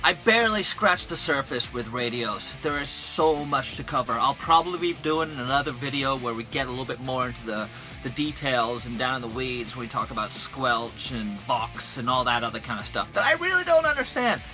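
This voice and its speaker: A man's voice: medium pitch, animated, a little fast, music in the background. A man hosting an informal instructional video, speaking emphatically with playful turns of phrase. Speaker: host of a gear and vehicle channel.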